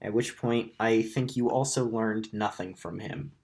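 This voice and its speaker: A raspy-voiced man running a tabletop game, rambling through narration with many fillers. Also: on the low side, measured pace, darkish tone, slight background noise. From Wyoming. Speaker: game master.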